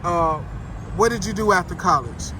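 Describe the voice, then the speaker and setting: A man with a notably high voice hosts a street interview, asking direct questions casually. Clear traffic and street noise run behind him outdoors. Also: moderate pace, pushed and bright, rough recording.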